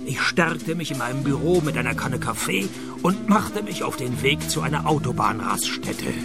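A man plays a sardonic private detective in a radio play. His delivery is dry and wry, with a creaky edge and lively pitch movement. Music is prominent behind him. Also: normal speed, close to an everyday voice. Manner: dry, deadpan sarcasm. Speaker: lead detective and narrator. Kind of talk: radio play narration and dialogue.

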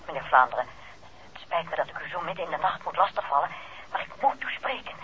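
A woman's voice: sits low, lively intonation, fast talker, sharp tone. An actress playing an anxious, secretive married woman speaking urgently over a telephone in a 1960s radio drama. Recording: muffled noisy old radio, phone line.

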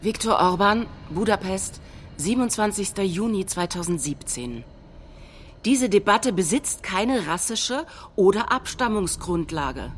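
A woman reading quoted political statements in a measured, declamatory way, as in scripted documentary theatre. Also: medium pitch, lively intonation, a little hiss or hum. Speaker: stage reader of political quotations.

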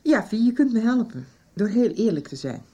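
A woman's voice: fairly high pitch, very animated, normal speed, mellow, breathy edge. A woman acting a worried, insistent middle-aged mother in a radio soap. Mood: grave, insistent.

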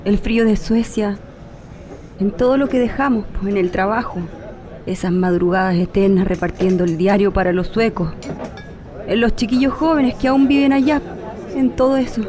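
Mellow, nostalgic Chilean mother, played by an actress. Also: mid-range voice, steady tone, moderate pace, some chatter behind, natural voice. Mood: wistful. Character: nostalgic middle-aged Chilean mother back from exile. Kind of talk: radio drama acting.

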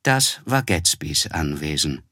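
An actor playing a reflective, polite young man who narrates a literary radio drama in a measured, descriptive way. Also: very deep voice, sing-song, sharp tone, no echo, clean studio sound, heavily acted. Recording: music under the voice.

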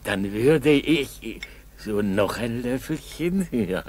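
An actor playing a kindly old Russian village grandfather in a 1960s radio play, chatty, coaxing and husky. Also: medium pitch, animated, a little mellow. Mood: proud, moved. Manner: folksy, coaxing, halting storytelling. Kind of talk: 1960s German school radio play acting.

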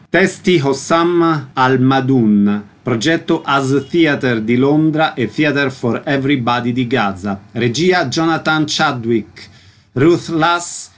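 A man's voice: medium pitch, takes it slowly. A man working as a radio host, presenting from a script.

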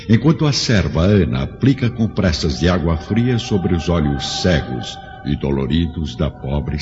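Male Brazilian narrator, very low, solemn radio-drama storytelling, heavy reverberant tail, muffled sound. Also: a little mellow. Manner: solemn, measured. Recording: long reverb, dull lo-fi, faint music.

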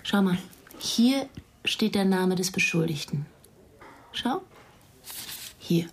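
A woman's voice: fairly low pitch, animated, slow, slight background noise. A woman playing a dogged, insistent police investigator in a naturalistic radio crime drama. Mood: businesslike. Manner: insistent, earnest.

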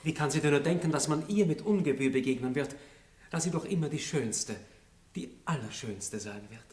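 An actor playing a lovestruck, courtly seventeen-year-old aristocrat in a radio play, speaking with rapt ardour. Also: male voice, a little high, lively intonation, moderate pace, darkish tone, close to an everyday voice. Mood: smitten, bewildered. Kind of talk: 1960s radio play acting. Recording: old faintly hissy radio recording.

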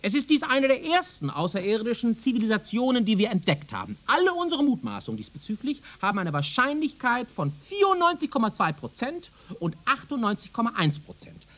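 An actor playing a pompous, self-assured, condescending male professor in a radio play, lecturing emphatically in a husky voice. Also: very high-pitched, huge pitch swings, fairly quick, few pauses, a bit bright, full character voice. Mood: confident. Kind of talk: German radio drama acting.

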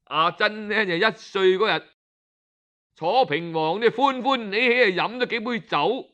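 Slow-paced Cantonese male storyteller. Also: sits high, muffled recording. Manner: expressive, colloquial, with drawn-out particles and interjections. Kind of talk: traditional oral storytelling audiobook.

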